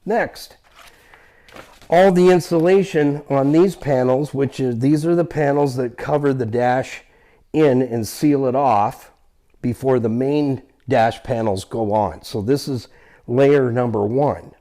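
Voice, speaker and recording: Mellow-voiced man explaining repairs. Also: mid-range voice, slow pace. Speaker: vehicle restorer and host. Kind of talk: hands-on repair explainer, casual talk to viewers.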